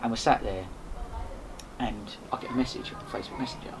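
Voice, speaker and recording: A man tells stories at a quick clip, animated and rambling, often restarting his sentences. His voice sits at a middle pitch. Steady background noise runs under the recording. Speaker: podcast host and guest. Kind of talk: casual storytelling chat. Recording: noisy background.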